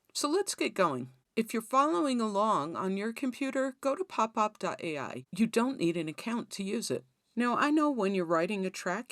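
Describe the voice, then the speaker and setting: A woman's voice: medium pitch, a bit bright, no room sound, studio quality. A woman hosting a tutorial, explaining things in a conversational, instructional way. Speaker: host of songwriting tutorial.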